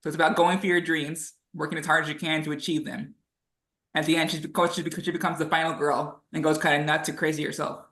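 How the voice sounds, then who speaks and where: Woman director in informal discussion, sharp bright tone, stammering starts, reverberant room. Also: sits low, flat delivery, fast talker. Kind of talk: casual group discussion. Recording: echoey room.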